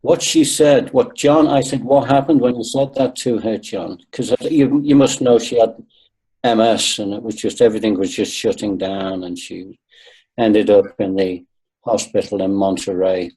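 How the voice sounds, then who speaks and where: Earnest man in his sixties, dark husky voice. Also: fairly low pitch, normal speed, rough recording. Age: 60s. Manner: earnest, emphatic, repeats himself for stress. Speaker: guest. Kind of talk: webinar conversation. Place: video call.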